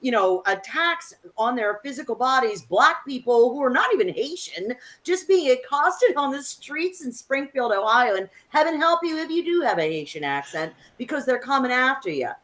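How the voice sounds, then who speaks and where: A woman co-hosting an unscripted political discussion, speaking in an emphatic, opinionated way and halting as she gathers her thoughts. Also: high-pitched, big pitch swings, fairly bright tone. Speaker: co-host of a political discussion show.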